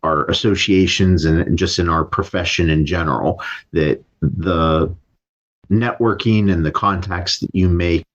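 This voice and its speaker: Deep-voiced measured interviewer man. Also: muffled recording. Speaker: interviewer for a pharmacists association. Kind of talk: interview questions and comments.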